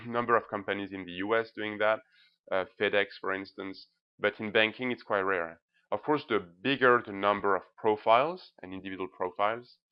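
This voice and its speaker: A man's voice: fairly low pitch, clear, resonant tone. A man giving an informal, emphatic presentation as a blogger, often checking in with short tag words. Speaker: presenter, blogger reviewing banks' social media. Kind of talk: informal screencast-style presentation. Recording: slightly dull, lo-fi sound.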